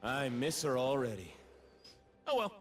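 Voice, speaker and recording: A man's voice: medium pitch, sing-song, measured pace, fairly bright tone, husky, soft background music, full character voice. A man voicing a cocky, flamboyant bird-man warrior in a video game, vain and mocking, with a theatrical, teasing delivery. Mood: flippant. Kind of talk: video game character voice lines.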